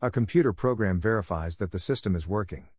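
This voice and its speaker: A man with a fairly low voice reads out test sentences at a fast clip. The sound is dry and close, with no room to it. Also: darkish tone, no echo. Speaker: test prompt reader. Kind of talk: scripted sentence reading for an English test.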